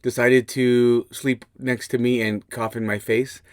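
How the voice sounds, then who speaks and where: A man's voice: mid-range voice, level tone, measured pace, no room sound, studio quality. A man hosting a podcast with a slightly scratchy voice, explaining things casually and restarting his sentences as he thinks aloud. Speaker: podcast host. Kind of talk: casual instructional podcast talk.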